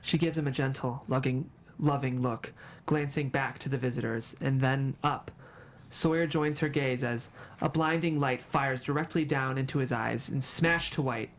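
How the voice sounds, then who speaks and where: A screenplay narrator at a table read, with a medium-pitched voice. The stage directions are delivered as dramatic storytelling, scene by scene. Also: fairly even intonation, moderate pace.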